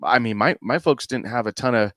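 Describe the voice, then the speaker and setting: A man's voice: mid-range voice, fairly quick, fairly bright tone, no room sound, studio quality. A middle-aged man from the United States co-hosting a podcast, rambling in casual chat and often asking "you know what I mean". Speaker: podcast co-host.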